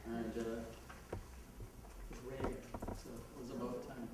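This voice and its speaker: A man's voice: medium pitch, little pitch movement, slow pace. A man with a Jamaican accent giving a conversational talk at a conference, laughing at his own asides. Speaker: conference speaker. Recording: echoey hall, mic heard from a distance, background noise.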